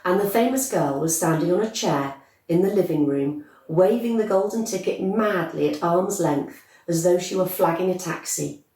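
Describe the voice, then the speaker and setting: A woman narrates a children's story with measured emphasis and expression. Her voice sits on the low side. The recording is echoey, with her some way from the mic. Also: dark tone. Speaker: story reader. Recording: echoey room, distant microphone.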